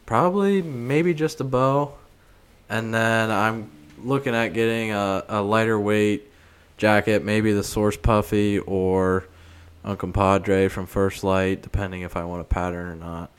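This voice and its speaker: A man with a fairly low, smooth and resonant voice. He co-hosts a podcast and chats about gear in a relaxed, laid-back way. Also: a little slow. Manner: laid-back, brief remarks. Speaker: podcast co-host. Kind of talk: casual gear talk.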